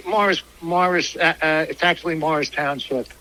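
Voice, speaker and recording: An older American man calling in for a casual phone chat, halting as he searches for words. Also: fairly high pitch, steady tone, pushed and bright, rough recording. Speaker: caller, customer.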